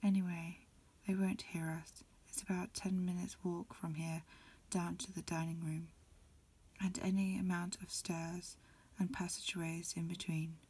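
A woman reading a storybook in a breathy ASMR whisper, softly and unhurriedly, phrase by phrase. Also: lowish voice, little pitch movement, unhurried. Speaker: amateur reader. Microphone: lo-fi home recording.

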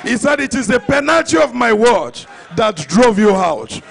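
Nigerian guy preaching hard in church, really high voice w/ lots of gravel, punches his phrases. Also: sing-song, moderate pace. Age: middle-aged. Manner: emphatic, declamatory, repeats phrases for effect. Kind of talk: impassioned sermon. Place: large church hall before a congregation. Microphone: handheld microphone. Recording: live church PA with faint crowd.

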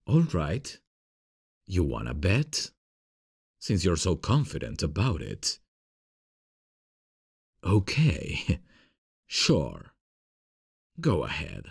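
An extremely low-pitched, husky man's voice as a male voice actor plays it in an ASMR boyfriend roleplay. He is a playful, cocky gamer who takes his time over his words and sounds close and dry. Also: wildly swooping pitch, very slow, long pauses, no echo, heavily acted. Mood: teasing, cocky. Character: playful frustrated gamer boyfriend, grown man, cocky but affectionate.

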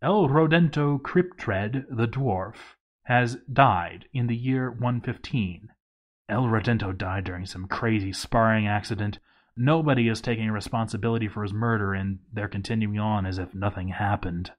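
A man's voice: on the low side, normal speed. A man reading a written video game playthrough aloud as scripted narration, announcing events with some theatrical flair.

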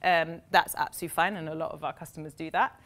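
A young woman speaking at a meetup, answering audience questions in fluent, quick explanations sprinkled with "um" and "like". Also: low-pitched, steady tone, a bit bright. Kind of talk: live Q&A at a tech meetup. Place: meeting room with seated audience, indoors.

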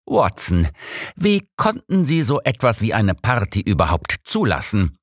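A haughty old gentleman detective , acted out by a male reader, grumpy and sardonic. He speaks formally and emphatically with animated intonation, in a dry audiobook sound. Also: fairly low pitch, unhurried, no room sound, pitched above the performer's own voice, heavily acted. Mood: indignant. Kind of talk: audiobook character reading.

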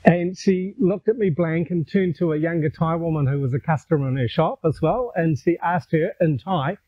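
An older man with a New Zealand accent talks easily to camera, telling stories in a relaxed, chatty way with a slightly raised voice. The lapel recording sounds narrow and tinny, like a phone line. It is dry, with no room echo. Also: a little high, moderate pace. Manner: relaxed, conversational, gestures while talking. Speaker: vlogger. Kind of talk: casual storytelling to camera. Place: outdoors in a garden. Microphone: lapel mic.

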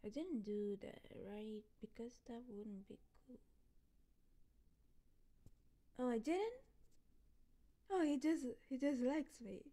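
A woman voicing a happy, goofy, drunk girlfriend in a roleplay, soft and often near a whisper, rambling and repeating herself. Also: on the high side. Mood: incredulous, giggly. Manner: rambling, halting, repeating words, giggly. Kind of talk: ASMR roleplay, voicemail monologue.

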